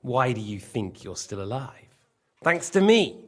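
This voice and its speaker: An actor playing a smug, treacherous soldier in a video game, with a sly, mocking manner. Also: male voice, mid-range voice, sing-song, unhurried, breathy edge. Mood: smug, taunting. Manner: sly, mocking, swinging between barking and silky. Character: smug, treacherous captured soldier serving a king. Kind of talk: video game cutscene acting.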